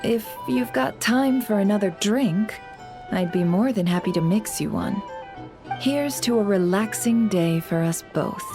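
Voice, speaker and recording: A woman playing a sly, smooth-talking businesswoman in a video game, coy, polite and measured. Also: medium pitch, music in the background, lightly acted. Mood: coy, teasing.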